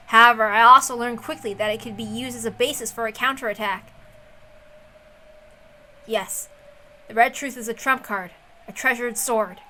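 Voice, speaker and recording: Woman reading as brooding, bright-voiced calculating sorcerer. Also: fairly high pitch. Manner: serious, deliberate, dramatic.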